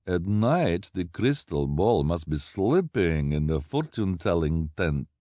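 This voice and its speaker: A man voicing a scheming, greedy female fortune-teller who flatters and speaks broken English with a strange foreign accent. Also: fairly low pitch, slow pace, dry recording, clean studio sound, pitched above the performer's own voice. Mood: complaining. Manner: wheedling, ends phrases with "please". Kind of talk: audiobook character voice.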